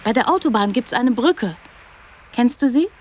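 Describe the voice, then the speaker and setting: A woman's voice: on the high side, lively intonation, moderate pace, slight background noise, lightly acted. An actress playing an odd young girl in a radio drama, blunt yet tender, in simple childlike sentences. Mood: matter-of-fact.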